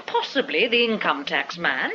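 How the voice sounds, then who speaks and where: Sardonic scheming wife, brisk and mocking, very lively intonation, muffled radio drama. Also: female voice, fairly low pitch. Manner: cutting, drily mocking. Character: brisk, sardonic, hard-headed wife and accomplice. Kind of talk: radio comedy drama acting. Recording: muffled radio broadcast copy, faint noise.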